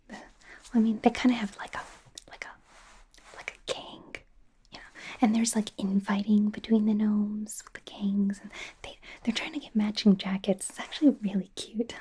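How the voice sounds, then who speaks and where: A woman's voice: medium pitch, measured pace. A woman playing a friendly, anxious young werewolf medical student in an ASMR roleplay, whispering in a nervous, hesitant ramble with stammered repeats. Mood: apologetic, chatty. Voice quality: breathy soft whisper.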